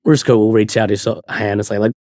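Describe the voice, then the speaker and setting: A man playing a tabletop game, casually improvising his character's actions and speech in a husky voice. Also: lowish voice, fairly even intonation, a little fast, a little mellow, dry recording, clean studio sound. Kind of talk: casual improvised roleplay narration.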